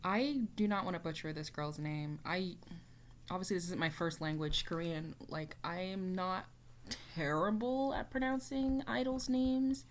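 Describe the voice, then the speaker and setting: A woman's voice: lowish voice, a little slow, a little hiss or hum. Rambling, chatty young woman vlogger. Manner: chatty, rambling, many fillers. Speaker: reaction YouTuber. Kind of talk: casual reaction vlog.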